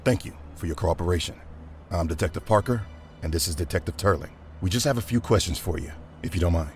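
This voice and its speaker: A man's voice: low voice, faint music behind, lightly acted. A man playing a courteous police detective in an audio drama, speaking politely and formally. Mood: polite, official. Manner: polite, measured, official. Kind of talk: naturalistic audio drama acting.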